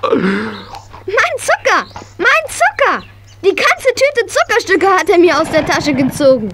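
A woman's voice: very high-pitched, big pitch swings, unhurried, heavy background noise, exaggerated voice. An actress playing an eager, affectionate, horse-loving schoolgirl with gushing enthusiasm in a children's radio play. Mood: excited.

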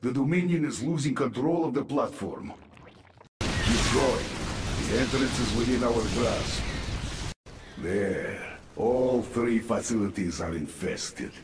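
An actor playing a grim, vengeful infested military man in a video game, gravelly and commanding. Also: mid-range voice, animated, slow pace, echoey room, noisy background. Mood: fierce, commanding. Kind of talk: video game cutscene and mission acting.

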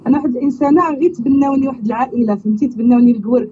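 A man's voice: very high-pitched, very fast. A man putting on the voice of a chatty young woman from abroad looking for a husband, speaking with a Moroccan accent on a prank phone call. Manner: chatty, pleading, repeats "you understand?". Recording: dull lo-fi sound with faint noise.

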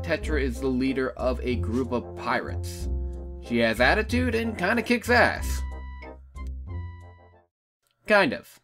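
A man's voice: fairly high pitch, a bit bright. A man giving a casual, scripted opinion narration as a video game reviewer. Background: background music.

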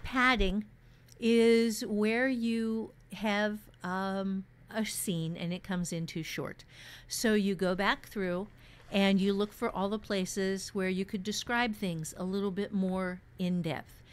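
A woman with a medium-pitched voice talks casually as a podcast host. She explains in a halting way, restarting and repeating words to drive a point home. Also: steady tone, smooth. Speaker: podcast host, experienced fiction writer. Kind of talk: casual advice discussion about fiction writing.

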